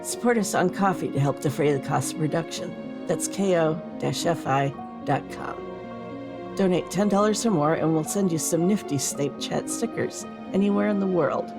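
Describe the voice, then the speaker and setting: Low-pitched, creaky woman podcast host. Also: moderate pace, music in the background. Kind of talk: casual interview hosting.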